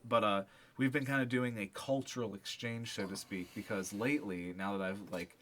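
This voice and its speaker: A man's voice: on the low side, steady tone, moderate pace, a bit bright. A man co-hosting a podcast, chatting casually about music in a slangy, rambling way peppered with filler words. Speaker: podcast co-host.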